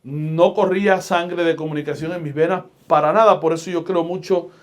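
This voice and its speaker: A middle-aged man from Puerto Rico with a Puerto Rican accent, a pastor and radio host telling stories in a podcast interview with a deliberate, emphatic preaching delivery. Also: fairly high pitch, steady tone. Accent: Puerto Rican Spanish.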